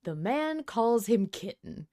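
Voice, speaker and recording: A woman's voice: on the high side, very animated, takes it slowly, mellow. A woman hosting a podcast, chatting casually and thinking aloud with a playful, conversational manner. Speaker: podcast host. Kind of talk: casual fan discussion chat.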